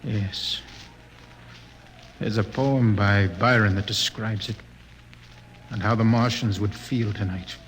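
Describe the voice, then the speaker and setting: A man's voice: fairly low pitch, fairly even intonation, fairly bright tone, slight background noise. Earnest, brooding spaceman in reverb. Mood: mournful. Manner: grave, halting, impassioned. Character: earnest, brooding male expedition spaceman, idealistic and bitter. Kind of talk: radio drama acting.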